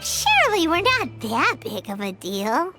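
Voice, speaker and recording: An actress playing a tiny, childlike floating fairy companion in an anime-style video game, squeaky, excitable and chatty. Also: female voice, measured pace, heavily acted. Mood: nervous, doubtful. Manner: exclamatory, refers to self by name. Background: music.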